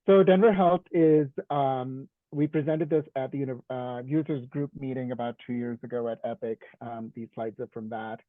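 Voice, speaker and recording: A man speaking with a hoarse, sore-throated voice as he presents to a video call, easygoing and full of "um"s, stopping now and then to clear his throat. The audio is squeezed and phone-like. It is completely dry, with no room echo. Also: mid-range voice, moderate pace, few pauses. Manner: clears throat often, conversational with fillers. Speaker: guest speaker, physician.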